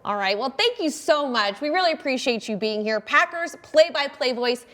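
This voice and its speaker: High-voiced American woman hosting sports show. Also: animated, moderate pace, a bit bright. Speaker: show host.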